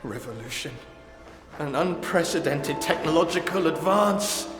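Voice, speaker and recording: A man playing a frightened, wounded scientist in a video game cutscene, nervous, halting and agitated. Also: fairly high pitch, animated. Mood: awed, explaining. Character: frightened wounded male scientist, pleading and unstable. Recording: long echoey reverb under game music.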